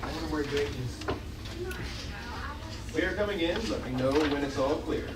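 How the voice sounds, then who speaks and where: A man's voice: on the high side, normal speed, close to an everyday voice. A man playing a firm, brave spaceship pilot in an amateur script table read. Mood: businesslike. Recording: roomy, far from mic, noisy room.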